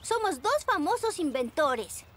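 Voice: very high voice, sing-song, unhurried, fairly bright tone, full character voice. An actor voicing a boastful child animal professor in a children's cartoon, pompous and enthusiastic. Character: child cartoon inventor professors, penguin and pink creature, boastful.

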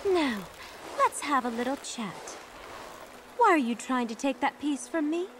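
A woman's voice: high-pitched, lively intonation, measured pace, background noise. A woman playing a composed, imperious young heiress in a video game cutscene, speaking formally. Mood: composed, commanding. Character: poised, commanding young heiress in charge.

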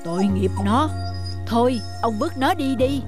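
A practical, worried wife in a folk-tale cartoon. Her voice sits fairly high as she urges her point. Music plays clearly behind her. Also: lively intonation, unhurried. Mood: concerned, insistent. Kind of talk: cartoon dubbing, expressive storytelling.

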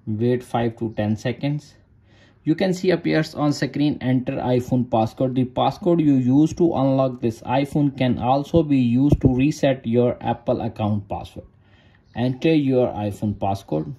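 A man's voice: mid-range voice, unhurried, mellow. A man narrating a phone tutorial in short, repeated step-by-step instructions in non-native English. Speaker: tech tutorial host.

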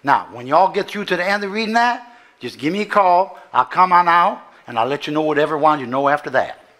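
Actor as folksy Southern lawyer, higher-pitched. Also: male voice, expressive, fast, fairly bright tone. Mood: businesslike. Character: folksy, discreet small-town Southern family lawyer. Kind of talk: live stage acting. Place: theater stage before audience.

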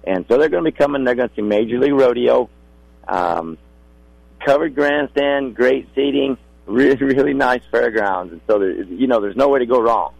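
A man's voice: medium pitch, normal speed, vocal fry, a little mellow, dry recording, lo-fi sound. A middle-aged man with a polite, folksy way of talking, a guest in a casual radio interview. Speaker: rodeo contractor guest.

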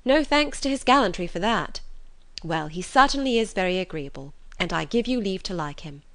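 A woman reading aloud, voicing a lively, playful young woman who teases with quick wit. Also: medium pitch. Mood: teasing. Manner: teasing and witty.

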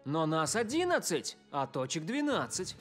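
A man's voice: fairly high pitch, big pitch swings, moderate pace, fairly bright tone, soft background music. A man voicing an earnest young football player in a cartoon dub, thoughtful and questioning.

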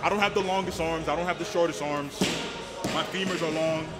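A twenty-five-year-old man and powerlifter chatting casually in a gym between lifts, loud and excited. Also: on the high side, brisk. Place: large indoor gym. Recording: music playing behind. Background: gym music.

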